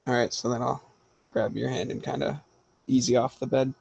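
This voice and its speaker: A gravelly-voiced man describes what his character does in a tabletop game, his delivery almost completely flat. His voice comes through a dull, muffled chat line with little room sound. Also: medium pitch, moderate pace, no room sound. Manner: describes his actions, then speaks in character. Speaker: player. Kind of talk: casual tabletop play over voice chat.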